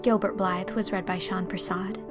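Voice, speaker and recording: A woman's voice: mid-range voice, flat delivery, moderate pace, loud music behind the voice. A woman narrator reading scripted literary fiction as an audiobook.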